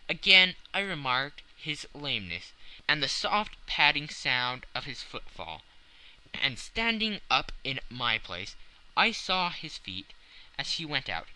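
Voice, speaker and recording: A man's voice: a little high, big pitch swings, slow, pushed and bright, clear, resonant tone, poor audio. A man narrating classic fiction as a dramatic scripted audiobook.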